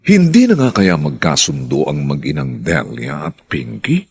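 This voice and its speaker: A man hosting a radio drama, reading scripted announcements in a formal, theatrical manner with drawn-out phrases. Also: rumbling low, wildly swooping pitch, vocal fry, husky.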